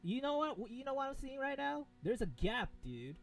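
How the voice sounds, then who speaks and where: A man's voice: extremely high pitch, big pitch swings, normal speed, vocal fry, a bit bright, faint music behind. A man chatting casually as a gaming co-host, slangy and sweary, talking like a bro. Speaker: co-host reacting to a game. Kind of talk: casual banter with profanity.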